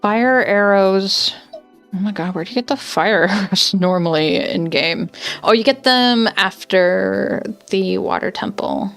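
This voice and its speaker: A woman's voice: mid-range voice, measured pace, creaky, clean tone, soft background music. A woman streaming a video game from home, chatting casually and thinking aloud with lots of fillers. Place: home, indoors.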